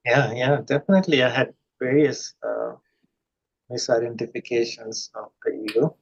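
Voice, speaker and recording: Gravelly man from India, lo-fi sound. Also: mid-range voice, moderate pace. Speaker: guest. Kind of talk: reflective conversation.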